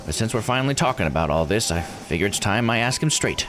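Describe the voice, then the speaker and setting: A man reading as an earnest, low-voiced villager. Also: expressive, quick pace, background noise, lightly acted. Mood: resolved. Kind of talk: reading visual novel dialogue aloud. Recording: music under the voice.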